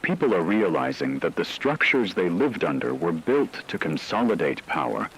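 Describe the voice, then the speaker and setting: A very deep acted male voice of a grave news anchor in an apocalyptic radio drama. His formal, solemn delivery rises and falls expressively, over a faint rain-like noise. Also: big pitch swings, normal speed, poor audio. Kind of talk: scripted dramatic news broadcast narration.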